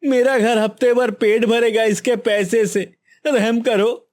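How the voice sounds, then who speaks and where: A woman's voice: on the high side, steady tone, takes it slowly, a little mellow. A woman voicing a poor, humble fisherman with an earnest, plaintive manner in a cartoon moral story. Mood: pleading, desperate. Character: poor, pleading fisherman, humble family man.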